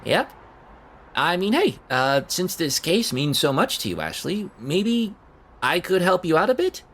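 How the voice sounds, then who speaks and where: A man's voice: high-pitched, sing-song, measured pace, a bit bright, exaggerated voice. A man acting in an audio drama as an awkward, overeager young man who stammers and rambles nervously. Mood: eager, awkward. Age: young adult.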